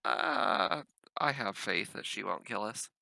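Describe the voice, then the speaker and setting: A man plays a tabletop game, chatting at an unhurried pace. His voice is sharp and very bright with a creaky edge. The sound is dry and a bit lo-fi. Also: lowish voice, animated, no room sound. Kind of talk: casual game chat.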